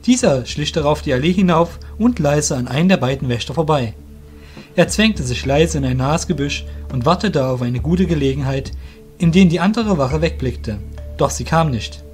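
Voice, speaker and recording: Higher-pitched man narrating a fantasy story. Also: animated, moderate pace, darkish tone. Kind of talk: scripted fantasy audio drama narration. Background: faint music.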